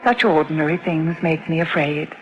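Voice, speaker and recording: A woman's voice: low voice, moderate pace, lo-fi sound, over loud music, lightly acted. An actress playing a haunted, frightened mother who tells her own ghostly tale as dramatised horror narration, on a noisy old radio-drama recording. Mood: haunted, grave. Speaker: narrator and lead character.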